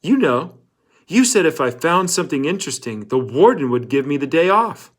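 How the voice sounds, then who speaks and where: A man's voice: a little high, very animated, moderate pace, pitched above the performer's own voice. A man reading the lines of an earnest, hopeful teenage boy in a storybook, eager and pleading.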